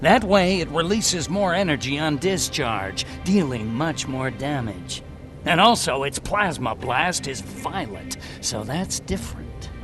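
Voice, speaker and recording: An actor playing an eccentric, talkative scientist and hacker in a video game cutscene, eager, blunt and given to explaining. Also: male voice, on the high side, expressive, a little slow, over music. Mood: geeky enthusiasm.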